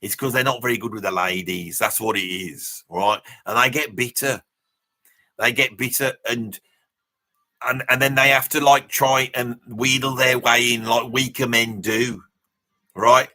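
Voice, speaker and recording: A man's voice: medium pitch, steady tone, a little slow, sharp tone. A man voicing an opinionated Birmingham bloke of about seventy, chatting bluntly and matily as he hosts a livestream. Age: 70s. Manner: blunt, matey, ranting, peppered with mild swearing and tag questions. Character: brash, opinionated seventy-year-old Birmingham bloke, self-styled ladies' man. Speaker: livestream host. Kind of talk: casual livestream chat and commentary, answering viewer comments.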